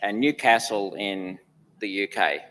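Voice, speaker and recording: A man in his fifties, mostly Australian in accent with traces of other places, answers questions in a church. His voice sits a little low, and he rambles good-naturedly with dry jokes. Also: measured pace, fairly bright tone, poor audio. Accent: mixed, Australian with traces of places lived. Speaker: church council chair. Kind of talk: interview answers.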